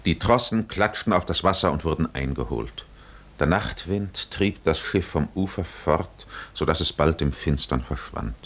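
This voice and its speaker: A man as the solemn, poetic narrator of a 1950s German radio drama, measured and dramatic. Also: very deep voice, expressive, fairly bright tone, full character voice. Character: solemn poetic storyteller of a sea voyage.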